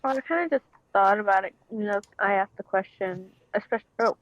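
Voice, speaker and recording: A woman's voice: mid-range voice, fairly quick. A woman in a casual group discussion, asking questions and explaining her thinking step by step. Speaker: panel guest. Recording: dry, dull lo-fi mic.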